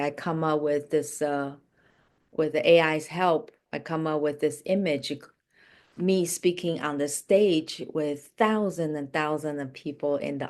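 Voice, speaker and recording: Low-pitched woman coach with unhurried delivery. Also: a little mellow. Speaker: life and business coach. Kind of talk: motivational coaching session.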